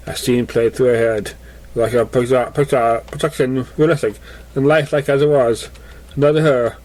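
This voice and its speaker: A man's voice: medium pitch, a little mellow. A man reading a story aloud as an amateur narrator, now and then stumbling over the words. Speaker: amateur fan fiction reader.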